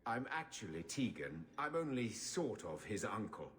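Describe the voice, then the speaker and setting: A man's voice: fairly low pitch, big pitch swings, moderate pace, a bit bright, slight background noise. An actor playing a dignified older nobleman in a video game, with a dry, formal manner. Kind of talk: video game cutscene acting.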